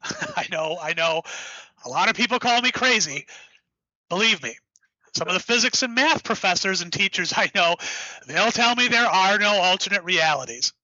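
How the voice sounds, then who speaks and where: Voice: mid-range voice, lively intonation, moderate pace, bright, forceful tone, dry recording, highs cut off. An actor playing an enthusiastic, rambling writer who created the hero, in an audio drama. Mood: excited. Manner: enthusiastic, rambling, self-interrupting.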